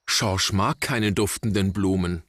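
A man's voice: low-pitched, measured pace, fairly bright tone, dry recording, clean studio sound. A man reading scripted narration for a children's cartoon, with music behind him.

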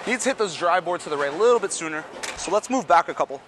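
A man in his thirties giving relaxed running commentary while bowling in a large indoor bowling alley, talking through each shot and adjustment. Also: high-pitched, quick pace. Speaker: bowling ball reviewer.

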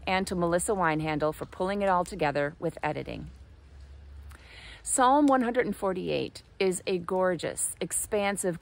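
A woman pastor in her forties speaks in a slightly low voice, measured and earnest, with a lyrical devotional flow. She is recorded outdoors beside a fire on a phone held at arm's length. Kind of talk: reflective devotional address. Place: outdoors by a fire pit in snow at night. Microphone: phone camera at arm's length.

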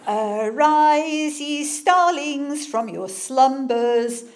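A woman doing a booming baritone commune leftie. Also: animated, drawn-out words, heavily acted. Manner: thundering, declaiming. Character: booming left-wing commune man, baritone.

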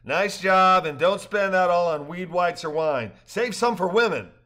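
A boisterous, joking older man, as a male storyteller puts him on in a story reading. He teases in a raised, carrying voice that sits high. Every line comes out loud and playful. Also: animated, measured pace, full character voice. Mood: teasing. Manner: loud, exclaiming, teasing.

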